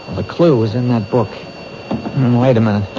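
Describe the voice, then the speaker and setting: An actor playing a dogged police detective in a 1970s radio drama, pressing with urgent questions. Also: male voice, medium pitch, normal speed, lightly acted. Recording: hissy lo-fi old radio broadcast.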